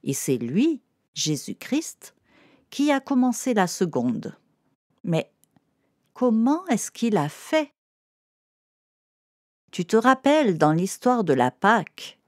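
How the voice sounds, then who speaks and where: A woman with a fairly low voice narrates a children's lesson at a slow pace. She explains in simple, didactic terms, speaking directly to a young listener. The sound is dry and close. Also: a little mellow, dry recording.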